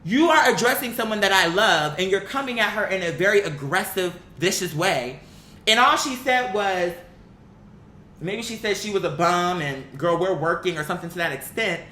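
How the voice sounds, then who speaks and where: A woman's voice: on the low side, animated, moderate pace, sharp tone. A woman giving blunt, opinionated casual commentary in an exasperated, emphatic way, swearing freely and arguing through rhetorical questions. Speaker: online commentator. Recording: echoey room with faint background noise.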